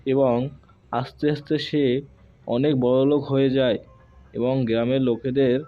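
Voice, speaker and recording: A man's voice: mid-range voice, little pitch movement, unhurried, highs cut off. A man narrating a children's cartoon tale as a scripted storyteller. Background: music.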